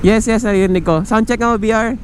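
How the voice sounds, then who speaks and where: A Filipino man with a high-pitched voice chats to his viewers in a casual vlog style, slipping between his language and English. He is picked up on a helmet mic, with faint road and vehicle noise around him. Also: fairly even intonation, fast talker. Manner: chatty, addresses viewers directly. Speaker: motorcycle vlogger and gear reviewer. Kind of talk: casual vlog review mixing Tagalog and English. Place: outdoors, riding a motorcycle on the road. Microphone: microphone clipped inside a helmet.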